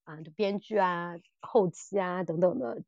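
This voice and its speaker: Halting woman podcaster, slightly muffled sound. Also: fairly low pitch, creaky, darkish tone, no room sound. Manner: halting, repeats words and restarts often. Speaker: podcast host. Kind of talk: casual chat reviewing audio dramas.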